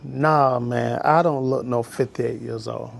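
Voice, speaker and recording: A man's voice: mid-range voice, steady tone, measured pace, gravelly. A man, telling his life story in a casual, preachy, emphatic vlog from his porch. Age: 50s. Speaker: vlogger and school bus driver trainer.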